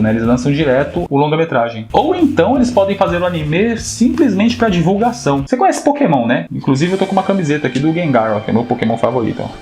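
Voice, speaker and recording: Fast-talking Brazilian man explaining casually. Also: medium pitch, darkish tone. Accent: Brazilian Portuguese. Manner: chatty, frequent tag questions. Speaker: YouTube host.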